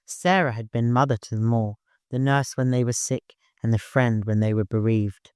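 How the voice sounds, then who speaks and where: Male audiobook narrator, mid-pitched, scripted literary storytelling with a very dry, close sound. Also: normal speed, darkish tone, smooth, no room sound.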